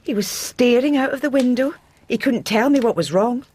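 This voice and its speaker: Bossy, fussing Scottish wife for period radio drama; animated, swooping delivery, husky edge. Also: female voice, a little high, normal speed. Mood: anxious, insistent. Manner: nagging, talks over others.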